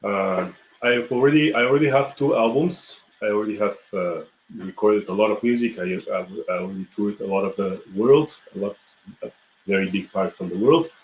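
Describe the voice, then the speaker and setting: A young man from Bulgaria teaching a folk tune in a casual live online lesson, talking in a relaxed, halting way with many fillers and restarts. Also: on the low side, muffled recording. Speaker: music teacher. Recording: echoey room with faint ambience.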